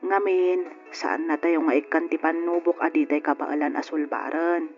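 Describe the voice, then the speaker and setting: A woman's voice: low-pitched, steady tone, moderate pace, close to an everyday voice. Earnest middle-aged aunt, played by a woman, muffled radio sound. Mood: consoling, earnest. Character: consoling, pious aunt of a grieving young woman. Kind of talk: old radio drama acting. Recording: dull lo-fi radio drama with music.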